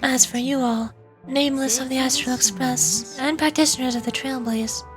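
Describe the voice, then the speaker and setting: Woman acting mysterious elegant female collector, high pitch, measured and formal, video game dialogue, music underneath. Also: natural voice. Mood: poised, alluring. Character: enigmatic, elegant woman, memory keeper and collector. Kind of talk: live read-aloud of video game dialogue.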